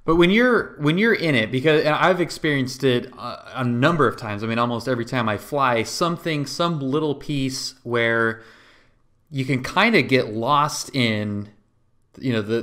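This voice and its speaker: A man with a mid-pitched voice hosts a podcast interview in a casual way. He talks conversationally and tends to restart and reword his questions as he goes. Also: moderate pace, a bit bright. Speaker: podcast host.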